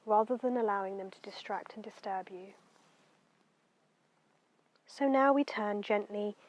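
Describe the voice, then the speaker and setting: Gently guiding woman leading a meditation. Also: mid-range voice. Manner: gentle, unhurried, soothing instruction with soft phrase endings. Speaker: meditation guide. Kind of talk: guided meditation narration.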